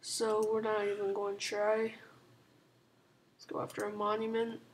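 A hoarse woman who is clearly sick talks quietly through a strategy game, rambling and hedging as she goes. Her voice is flat and even, with little rise and fall, over a faint hiss. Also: mid-range voice, normal speed. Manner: rambling, full of "like" and "um", soft-spoken. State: hoarse and sick, sore throat. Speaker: gaming channel host. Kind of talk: casual strategy game commentary.

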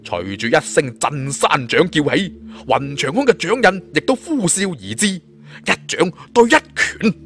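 A man's voice: fairly high pitch, very animated, a little slow. A man with a Cantonese accent narrating a martial fantasy tale in a theatrical, colloquial audiobook style. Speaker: storyteller. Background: background music.

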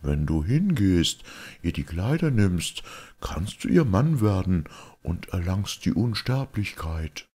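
A man's voice: low voice, very animated, takes it slowly, hardly any pauses, a little mellow, breathy edge, pitched above the performer's own voice, exaggerated voice. A man voicing a wise magical talking cow in a fairy tale, solemnly instructing and persuading. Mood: solemn, informing.

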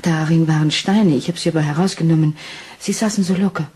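An actress playing an anxious, trusting Victorian wife in a German radio crime drama, earnest and refined. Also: female voice, sits low, steady tone, brisk, warm tone, a little hiss or hum, lightly acted. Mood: puzzled.

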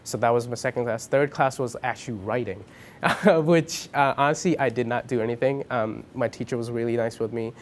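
A man's voice: mid-range voice, a little fast. A young man from India whose first language is Punjabi, answering interview questions in a casual, hesitant way with many ums and restarts. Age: young adult. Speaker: interviewee, college student. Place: dim indoor room with a lamp.

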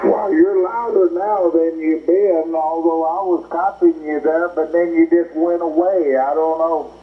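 A man with a moderately high voice makes casual radio contact. He sounds muffled by the shortwave link, with a little static underneath. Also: fairly even intonation, unhurried. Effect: shortwave radio transmission. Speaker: amateur radio operator. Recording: muffled ham radio audio, faint static.

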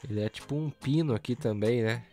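A man with a Brazilian accent, hoarse and nasal from a cold, chatting casually in slang while he customizes a toy truck on camera. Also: medium pitch, brisk, soft background music. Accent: Brazilian Portuguese. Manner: relaxed slangy chatter, talks while working. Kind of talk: casual hands-on tutorial narration.

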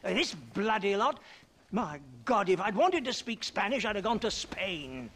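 An actor playing a dissolute, jovial middle-aged English trader in a Western video game, stammering and pompous, with a British accent. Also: male voice, high-pitched, sing-song, normal speed, fairly bright tone, slight background noise, exaggerated voice. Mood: rambling, world-weary. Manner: blustering, stammering, pompous, tags phrases with "what?". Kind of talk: video game cutscene acting.

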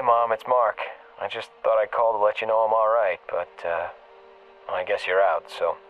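Young American soldier phoning home: low, halting, earnest, muffled machine playback. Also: male voice. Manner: hesitant, halting. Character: earnest, anxious soldier son leaving his mother a message. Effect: answering machine filter. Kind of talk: dramatic game voice acting, hesitant phone message. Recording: muffled answering-machine tape, faint music.

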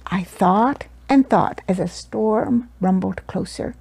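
Older woman reading a storybook, unhurried. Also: mid-range voice, creaky, a little mellow, slight background noise. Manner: deliberate, dramatic storytelling with sound words. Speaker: children's storybook reader. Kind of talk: expressive read-aloud of a picture book. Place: small cosy living room indoors. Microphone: small clip-on lapel mic.

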